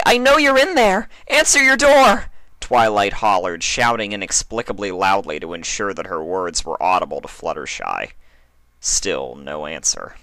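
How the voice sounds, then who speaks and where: A man reading a scripted story as narrator, with dramatic, deliberate delivery. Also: low-pitched, pushed and bright, no echo. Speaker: fan fiction reader and narrator.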